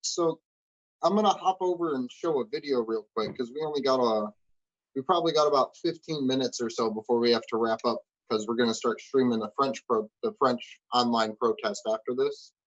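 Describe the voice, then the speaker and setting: A man's voice: mid-range voice, brisk. Casual male stream host, slightly dull audio. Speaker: livestream host. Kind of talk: casual hosting chat.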